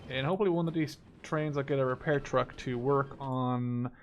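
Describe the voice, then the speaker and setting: A man's voice: mid-range voice, normal speed, slight background noise. A man in his twenties giving casual gameplay commentary, thinking aloud informally as he plays. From Netherlands. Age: 20s. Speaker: gaming video host.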